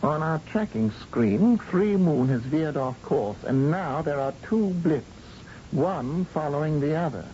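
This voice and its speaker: An actor playing an earnest, dutiful space flight controller in a 1980 radio drama, reporting in clipped, urgent phrases. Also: male voice, fairly high pitch, expressive, measured pace, warm tone. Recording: dull lo-fi old radio recording, faint noise.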